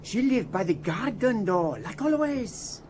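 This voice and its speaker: An actor playing an evasive Italian manservant who insists in broken English, in a radio drama. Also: male voice, sits high, very animated, measured pace, a little mellow, a little hiss or hum. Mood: insistent. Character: evasive Italian manservant, protective of his mistress.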